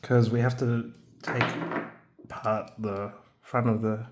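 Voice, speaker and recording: A man's voice: medium pitch, flat delivery, slow, very noisy. A man with a young son, showing a handheld radio kit in a casual, hesitant way with frequent ums and restarts. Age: adult, has a young son. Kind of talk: casual hands-on product demo.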